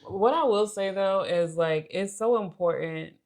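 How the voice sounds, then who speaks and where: A woman's voice: mid-range voice, a little slow, darkish tone. A young woman co-hosting a podcast, chatting casually about relationships and playfully voicing example thoughts. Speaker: podcast co-host.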